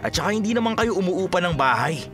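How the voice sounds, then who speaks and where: A man plays a respectful junior officer who likes to grumble, in a Filipino audio drama. His voice is a bit high and animated, over music. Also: fast. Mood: grumbling.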